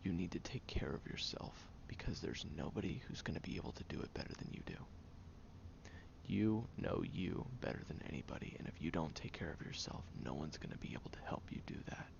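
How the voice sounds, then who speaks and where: A man playing a guarded, prickly young tsundere caring for a sick friend in an intimate audio roleplay, speaking haltingly, stern yet caring, often hushed. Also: deep voice, lively intonation, gravelly, in a whisper, lo-fi sound, heavily acted. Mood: hushed, concerned.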